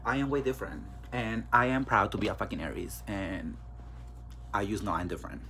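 A man's voice: fairly low pitch, steady tone, brisk, noisy background. A man in his twenties with a Mexican accent, talking candidly and bluntly with lots of fillers and swearing as the host of a solo podcast. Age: 20s. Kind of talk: casual solo podcast monologue.

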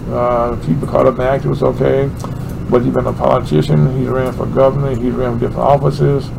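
An older man hosting a casual talk show, jumping in often and repeating his words excitedly. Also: mid-range voice, brisk, vocal fry. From Mississippi and St. Louis, USA. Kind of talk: casual chat, interviewing. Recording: noisy room with background hum.

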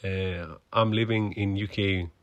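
A man's voice: low voice, fairly even intonation, measured pace, muffled recording. A man with a Greek accent, hosting a solo podcast and talking with many hesitations and fillers. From Greece. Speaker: podcast host.

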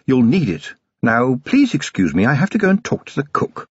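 A man's voice: mid-range voice, normal speed, slightly rough, no room sound, dull audio, voice pushed up. A man voicing a self-assured, commanding upper-class lady who speaks with confident, gracious politeness in an audiobook story. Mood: stern, brisk. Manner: calm, confident, imperious and polite.

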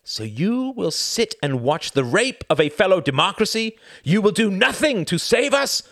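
Guy voicing a fired-up president, raised voice, up high and all over the place. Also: big pitch swings, moderate pace, no echo, clean studio sound, full character voice. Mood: indignant. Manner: loud, indignant, raised voice. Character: heated, desperate middle-aged male president.

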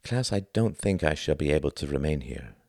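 A man's voice: very deep voice, expressive, fairly quick, darkish tone, dry recording, clean studio sound, full character voice. A man voicing an earnest, troubled young man in an audiobook, morally firm and easily exasperated as he argues. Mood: subdued, troubled.

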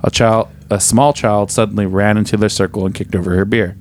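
A man's voice: on the low side, steady tone, a little fast, a little hiss or hum. A man hosting a casual podcast, swearing often and reading a story aloud with many fillers. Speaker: podcast host.

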